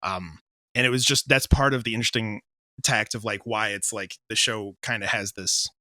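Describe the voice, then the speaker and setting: A man's voice: fairly low pitch, fairly even intonation, normal speed, bright, forceful tone, no room sound. A man giving informal, rambling answers in a casual interview, often interrupting himself as a creator discussing his work. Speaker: audio drama writer and director being interviewed.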